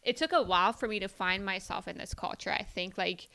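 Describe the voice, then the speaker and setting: A woman's voice: medium pitch, a little fast, creaky, bright, forceful tone. A woman who grew up speaking Farsi, a podcast guest telling stories in casual chat, with many "like" fillers. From Iran. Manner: chatty storytelling, many "like" fillers.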